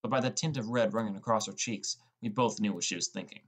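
A man's voice: fairly low pitch, fast, slightly rough. A man playing a sullen, defensive teenage boy who narrates his own story in a scripted audiobook. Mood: narrating.

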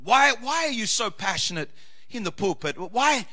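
A man preaching as pastor, reading scripture and swinging between loud shouted climaxes and quieter asides. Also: sits high, big pitch swings, normal speed. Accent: Australian. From Australia.

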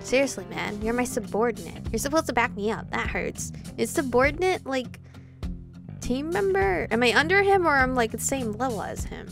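A woman's voice: on the high side, expressive, normal speed, creaky. A young woman doing chatty, playful let's play commentary and reading game dialogue aloud, with game music behind her. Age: young adult. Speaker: gaming video host.

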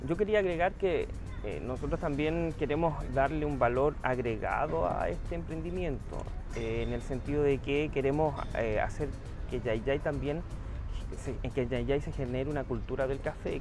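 A young man, a small business owner answering interview questions outdoors, earnest and hesitant with many fillers. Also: mid-range voice. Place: outdoor town plaza.

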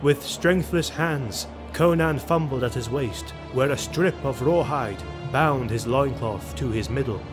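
A man narrates a sword-and-sorcery audio drama with grave, dramatic delivery. His mid-pitched voice carries scripted prose over clearly audible music. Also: a little slow, few pauses. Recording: music behind the voice.

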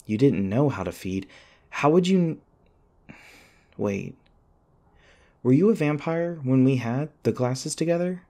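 A man playing an anxious, sarcastic young college student in an audio roleplay, rambling and halting in a wry, flustered way. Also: mid-range voice, animated, mellow. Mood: curious, wry. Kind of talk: audio roleplay acting, one-sided dialogue.

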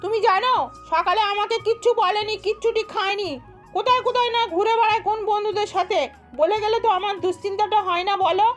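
A woman plays a very high-voiced farm wife and mother from a cartoon village. She is homely, fussy and quick to scold. Music plays under her voice. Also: fairly even intonation. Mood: worried, scolding. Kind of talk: amateur cartoon dubbing.

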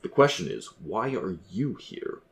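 Story role: male narrator as ghost dad, lowish pitch, unruffled, teasing, dryly needling. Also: huge pitch swings, measured pace, vocal fry, heavily acted. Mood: dry, nonchalant. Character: nonchalant, needling ghost of a dead father. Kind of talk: audiobook character dialogue.

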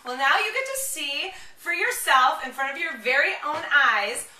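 High, very bright woman in echoey room. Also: normal speed. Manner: enthusiastic, animated, chatty with children. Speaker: mom blogger presenter. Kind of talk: casual product demonstration vlog. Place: home kitchen, indoors. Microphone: camera a few steps away. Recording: roomy, distant camera microphone.

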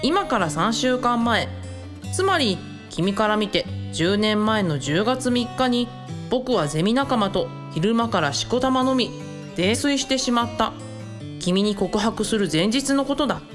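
Radio drama monologue: earnest, gentle young male student, voiced by a woman, measured letter reading over guitar. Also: a little high, animated. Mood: earnest, tender. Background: guitar music.

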